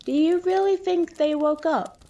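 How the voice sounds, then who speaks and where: A woman playing a friendly, excitable young monster boy who talks in boyish slang, in an audio drama. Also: very high-pitched, measured pace, lo-fi sound. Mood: curious. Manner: slangy, boyish, says dude and yo.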